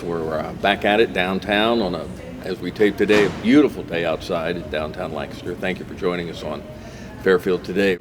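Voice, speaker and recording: An American man hosting a casual local interview in a folksy, chatty way. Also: sits low. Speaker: talk show host. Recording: clear background noise.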